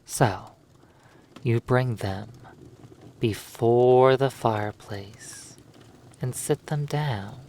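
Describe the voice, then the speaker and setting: A narrator telling a second-person hypnosis story in a measured, halting way, in short phrases with long pauses. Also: mid-range voice, darkish tone, a little hiss or hum.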